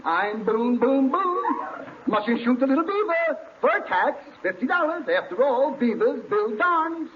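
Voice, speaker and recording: A man playing an excitable, comically officious game warden in a 1940s radio comedy. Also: very high voice, unhurried, full character voice. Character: excitable, silly game warden fining hunters with absurd taxes. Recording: muffled, noisy 1940s radio recording.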